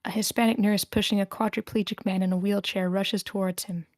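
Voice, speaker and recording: A woman's voice: mid-range voice, flat delivery, fairly quick, a little mellow, no room sound, studio quality. A woman reading the stage directions of a screenplay as scripted narration at a table read.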